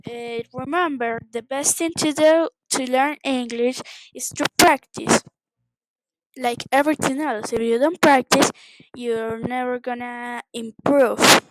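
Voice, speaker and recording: A woman's voice: a little high, fairly even intonation, a little slow, heavy background noise. A woman giving an informal English lesson as a teacher, narrating what is on screen in simple, instructional phrases and repeating words.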